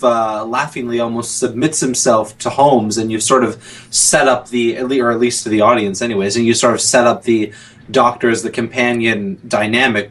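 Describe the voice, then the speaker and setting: A man with a husky voice, chatting casually as a guest on a podcast. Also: lowish voice. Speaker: podcast guest, audio drama crew member. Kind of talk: casual interview chat.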